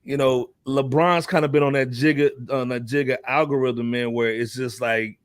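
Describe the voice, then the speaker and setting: A man's voice: mid-range voice, fairly even intonation, normal speed, clean tone, dry recording, clean studio sound. An American man hosting a casual hip hop discussion, speaking in slang with emphatic repetition. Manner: slangy, emphatic, repeats himself. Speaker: podcast host.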